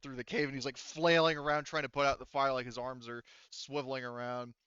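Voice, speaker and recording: A man with a clear, forceful voice runs a tabletop game as game master. He talks casually and animatedly in mid pitch, with informal, profane turns of phrase. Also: level tone, fairly quick, dry recording, highs cut off.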